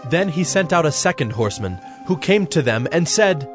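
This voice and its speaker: Male scripture narrator over soft music. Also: on the high side, sing-song, moderate pace.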